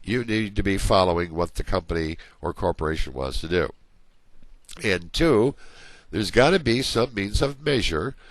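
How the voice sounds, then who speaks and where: Low-voiced man lecturing, voice going hoarse. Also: no echo. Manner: conversational, explains with everyday examples. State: losing his voice. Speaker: college management instructor. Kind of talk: recorded slide lecture.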